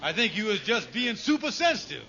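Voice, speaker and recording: An actor playing a scheming, cajoling lodge leader in a 1940s radio comedy, folksy and prone to stammering. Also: male voice, high-pitched, sing-song, a little fast. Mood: nervous cajoling. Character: scheming, wheedling male lodge leader and brother-in-law. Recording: noisy, dull old radio recording with clicks.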